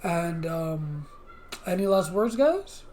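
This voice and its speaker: A podcast host speaks in a casual, informal style. The delivery rambles a little, in a medium-pitched voice. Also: expressive, slow pace, a little mellow, a little hiss or hum. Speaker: interview host.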